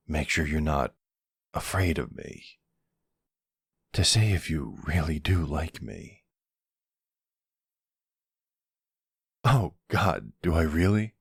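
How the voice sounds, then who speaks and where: Man playing a deep, gravelly flirty mafia boss. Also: dry recording, studio quality, full character voice. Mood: earnest, tender.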